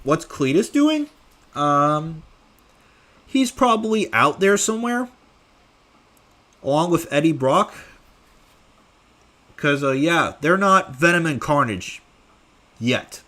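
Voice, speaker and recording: Young male creator, slow offhand Q&A delivery, voice swooping up and down, fairly high for a man, lots of "uh"s. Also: expressive, bright, forceful tone. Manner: rambling with many fillers, offhand. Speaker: creator answering fan questions. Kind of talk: casual live Q&A.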